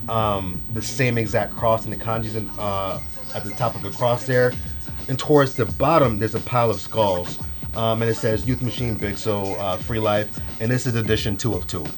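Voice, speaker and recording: A young man talks in a relaxed, rambling way, slangy and full of fillers. His voice sits a little low and sounds stuffed up and nasal from a cold. Music plays clearly behind him. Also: normal speed. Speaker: fashion vlogger. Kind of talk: casual haul video.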